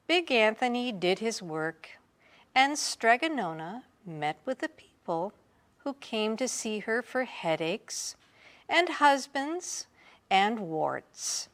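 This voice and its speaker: A woman reading a children's picture book aloud in an expressive, dramatic storytelling manner. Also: fairly high pitch. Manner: unhurried, dramatic storytelling with emphasis. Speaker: storybook reader.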